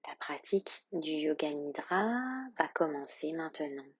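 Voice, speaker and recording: A woman yoga instructor leading a guided meditation in a calm, soothing, soft and breathy voice with long, measured pauses. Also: low voice, no echo, muffled recording.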